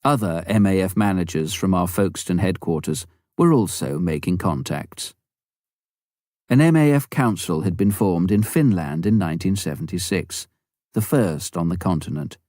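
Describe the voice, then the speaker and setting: A man reading a memoir aloud as an audiobook narrator, in a measured, clearly phrased scripted delivery. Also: deep voice, animated, darkish tone, no room sound, clean studio sound.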